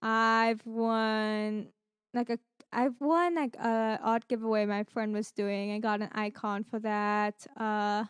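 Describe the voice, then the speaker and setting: High, clear-voiced woman chatting casually. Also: dry recording, studio quality. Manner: rambling, animated retelling with acted-out quotes. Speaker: podcast co-host. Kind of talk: casual chat about gaming streams and giveaways.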